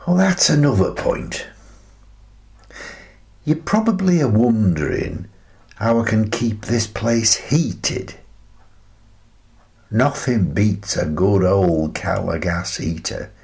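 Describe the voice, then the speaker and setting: Man playing a low, slow, wry older recluse. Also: big pitch swings, gravelly, exaggerated voice. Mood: chatty, wry. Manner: musing, chatty, gently amused. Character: wry, contented older recluse living in a playhouse. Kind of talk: radio play monologue.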